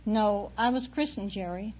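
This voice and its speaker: A woman's voice: mid-range voice, normal speed, darkish tone. A woman from Kentucky chatting casually as a contestant on a radio quiz show. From Kentucky, United States. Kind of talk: casual interview chat. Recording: dull vintage radio recording, faint noise.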